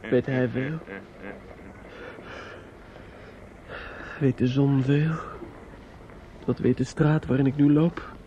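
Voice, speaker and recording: An actor playing a grieving, bitter and desperate young man in a radio drama, halting and often dropping into whispers. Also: mid-range voice, steady tone, lightly acted. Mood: furious, despairing. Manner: halting, repetitive, drops into whispers. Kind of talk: radio drama monologue acting. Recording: dull, noisy old radio recording.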